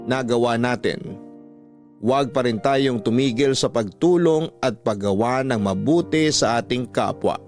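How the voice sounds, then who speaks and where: A Filipino man hosting a radio story program, narrating scripted drama in a measured, deliberate way with drawn-out phrase endings. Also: medium pitch. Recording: dry studio voice over clear background music. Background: background music.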